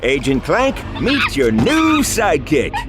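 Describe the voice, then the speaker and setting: A man's voice: fairly high pitch, wildly swooping pitch, takes it slowly, music in the background, heavily acted. A man voicing an irascible, bossy film director with a brusque, theatrical manner in a video game. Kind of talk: video game cutscene voice acting.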